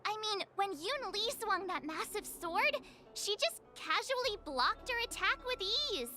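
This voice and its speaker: An actress voicing an excitable, chatty young adventurer girl who gushes eagerly in an anime-style game. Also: very high voice, measured pace. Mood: awed excitement. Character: bubbly, gushing young adventurer girl, easily awestruck. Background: music.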